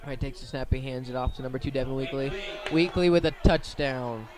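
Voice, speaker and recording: A man doing live radio play-by-play of a high school football game in short, plain, measured phrases. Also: crowd noise. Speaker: play-by-play sports commentator.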